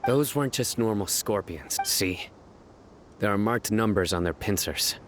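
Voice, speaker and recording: An actor playing a stern, watchful young desert warrior in a video game, speaking in a terse, serious way. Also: male voice, on the low side, expressive, measured pace, a little hiss or hum. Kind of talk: game voice acting.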